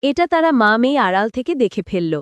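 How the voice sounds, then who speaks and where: High-voiced woman narrating a story. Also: expressive, a little fast, no echo. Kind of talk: scripted cartoon story narration.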